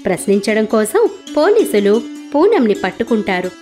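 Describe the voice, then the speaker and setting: A woman narrating a cartoon story in an even, explanatory storytelling manner. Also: mid-range voice, moderate pace, soft background music.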